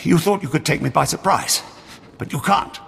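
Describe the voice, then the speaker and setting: An actor playing a bitter, vengeful middle-aged father turned rebel in a video game, gravelly, strained and accusing. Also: a little high, normal speed, fairly bright tone, exaggerated voice. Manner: dramatic, accusatory. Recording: long hall reverb, audible background noise.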